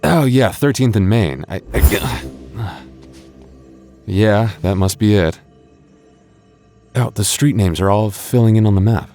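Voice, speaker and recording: Deep-voiced stammering boyfriend roleplay man. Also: takes it slowly, pauses often, warm tone, faint music behind. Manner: stammering, nervous restarts; playful, teasing.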